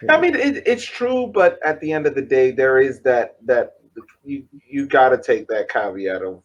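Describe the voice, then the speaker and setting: A man co-hosting a casual sports podcast, talking in halting bursts, restarting and laughing between points. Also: mid-range voice, big pitch swings, lo-fi sound. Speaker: podcast co-host.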